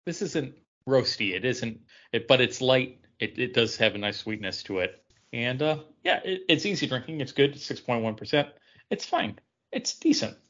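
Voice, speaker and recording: Male podcast co-host, mid-pitched, casual and self-mocking, muffled lo-fi sound. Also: expressive, normal speed, a bit bright. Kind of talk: casual chat about comics and beer. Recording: dull, lo-fi audio.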